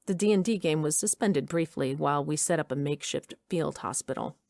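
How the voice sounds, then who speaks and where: A woman's voice: deep voice, a little fast, creaky, a little mellow, no room sound, studio quality. A middle-aged woman playing a determined, wry mother who narrates her own adventure in an audio drama. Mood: measured storytelling. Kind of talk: first-person scripted narration.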